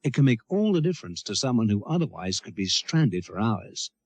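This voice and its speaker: Male narrator for an explanatory public appeal, lively intonation, slightly rough husky tone, earnest. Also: fairly low pitch, brisk. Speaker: public information film narrator. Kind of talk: scripted public information narration.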